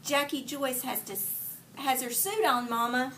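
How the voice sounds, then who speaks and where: A woman's voice: high-pitched, unhurried, bright, forceful tone. A woman reading aloud as an eager little girl coaxing her mother in a picture book. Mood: pleading. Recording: distant mic in a noisy room.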